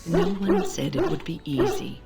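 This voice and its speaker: A woman playing a kindly, dignified older mage in a video game, speaking with gentle, earnest formality. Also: mid-range voice, huge pitch swings, moderate pace, creaky, loud music behind the voice. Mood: gentle, encouraging. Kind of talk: scripted video game dialogue.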